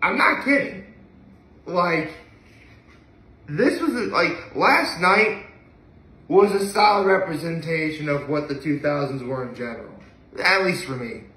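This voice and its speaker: A young man talks casually to a camera a few feet away. His voice is bright and forceful, pitched a little higher than most men's. He rambles with enthusiasm, and the room adds a clear echo. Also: lively intonation, a little slow, long pauses. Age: young adult. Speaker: vlogger. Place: living room, indoors. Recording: echoey room, mic at a distance.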